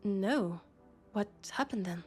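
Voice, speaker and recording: A woman acts out a female police colleague in a sci-fi audio roleplay, with a French accent and an unhurried, hesitant delivery. She is worried and exasperated with her roommate, talking softly and intimately over faint music. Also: mid-range voice, expressive. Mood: exasperated, disbelieving.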